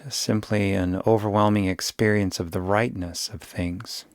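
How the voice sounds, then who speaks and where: A man guiding a yoga nidra meditation in a soothing, unhurried way, giving gentle instructions with long pauses. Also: lowish voice, fairly even intonation, dry recording, studio quality. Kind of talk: guided meditation.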